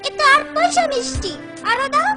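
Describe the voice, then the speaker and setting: A woman's voice: very high-pitched, a little fast. A woman voicing an insistent, pleading little village boy in a comic cartoon. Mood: aggrieved. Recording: faint music behind the voice.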